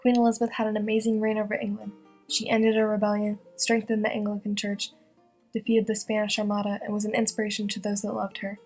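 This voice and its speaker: Casting: young woman, newsreel-style reporter, fast even read with little pitch movement, guitar music underneath. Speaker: student presenter playing a news reporter. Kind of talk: scripted newsreel narration.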